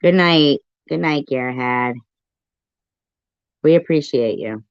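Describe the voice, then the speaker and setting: A woman hosting a casual live stream, commenting and reacting in a deliberate, repetitive way. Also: sits low, clear, resonant tone, dry recording. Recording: dull lo-fi live stream audio.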